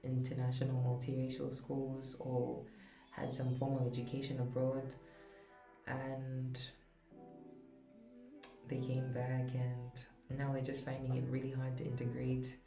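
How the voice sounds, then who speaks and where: Gravelly young vlogger, echoey room. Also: mid-range voice, monotone, measured pace, pauses often, mellow. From Zambia. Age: young adult. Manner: earnest and candid, with light laughs. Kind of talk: personal opinion monologue. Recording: echoey room with background music.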